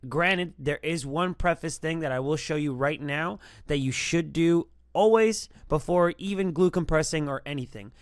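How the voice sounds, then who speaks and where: A man's voice: a little high, normal speed, few pauses, no room sound, clean studio sound. A man hosting a music production tutorial, explaining things in an informal, rambling, conversational way. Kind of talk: casual tutorial talk.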